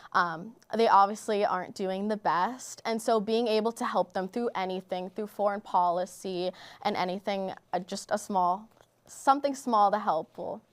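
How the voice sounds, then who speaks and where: A teenage girl from Bulgaria, answering interview questions in a chatty way, saying "like" often. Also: mid-range voice. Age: teens. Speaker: high school student guest.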